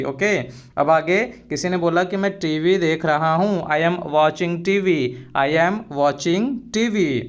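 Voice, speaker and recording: Young male tutor, fairly high voice, unhurried, drills phrases twice. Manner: explains in Hindi, repeats English phrases very slowly. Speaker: English teacher. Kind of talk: spoken English lesson.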